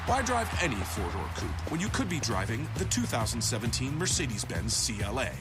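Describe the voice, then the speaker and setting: Polished male commercial announcer. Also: fairly high pitch. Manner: polished, smooth, persuasive. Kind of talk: scripted car commercial read.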